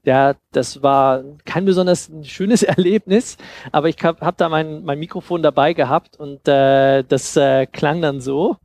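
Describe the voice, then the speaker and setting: A man in his thirties giving an informal demonstration talk into a headset mic, explaining haltingly and thinking aloud. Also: on the high side, a little mellow. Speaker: conference speaker on sound design. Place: seated on a sofa in a festival room. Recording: clear background noise and ambience.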